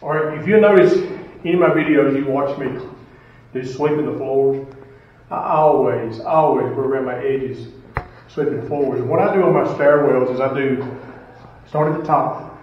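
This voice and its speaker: A man's voice: mid-range voice, moderate pace, long pauses, slight background noise. A middle-aged man, a school custodian, giving plain-spoken step-by-step cleaning instructions and asking rhetorical questions. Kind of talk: casual how-to instruction. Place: school stairwell, indoors, hard walls. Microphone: camera some distance off. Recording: echoey stairwell, distant and dull.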